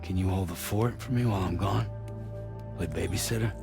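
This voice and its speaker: A gruff, reluctant courier talks in a very low, gravelly voice. He is wary, terse and skeptical, in the style of a cinematic video game, with music clearly behind him. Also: a little fast. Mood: reluctant, concerned.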